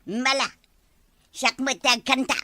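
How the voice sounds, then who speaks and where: A woman's voice: sits high, little pitch movement, slow pace, pushed and bright, heavily acted. A woman playing a fed-up, scolding, insistent village wife in an Ilocano comedy drama, with an Ilocano accent. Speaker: actress in comedy skit.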